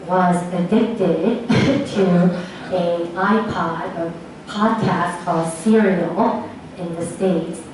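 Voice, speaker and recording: A woman speaking as an academic, answering audience questions after a lecture in a hesitant, thoughtful, measured way. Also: fairly low pitch, darkish tone. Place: large echoing lecture room, distant mic. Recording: echoey hall from far off, faint noise.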